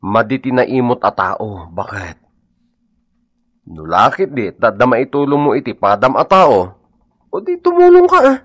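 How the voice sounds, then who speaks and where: A man's voice: mid-range voice, big pitch swings, a little slow, pauses often, no room sound. A man playing a blunt, scolding husband who lectures reproachfully in an Ilocano radio drama. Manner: preachy, reproachful.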